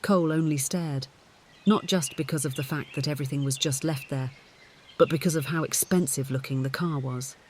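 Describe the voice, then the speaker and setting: A man narrates scripted fiction in a voice pitched a little high. Faint outdoor-like ambience and bird sounds sit behind his audiobook reading. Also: steady tone, normal speed, slight background noise.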